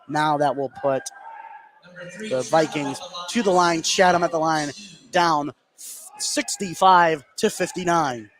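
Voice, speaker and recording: A man's voice: fairly high pitch, slow pace, crowd noise. An American man giving live play-by-play commentary as a basketball announcer.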